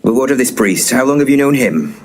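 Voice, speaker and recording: An actor playing a pompous, eccentric paranormal investigator, formal and deadpan, in a comic audio play. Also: male voice, mid-range voice, fairly quick, crowd noise, natural voice. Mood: officious. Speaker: actor in an audio comedy drama. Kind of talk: audio play dialogue, dry comedy.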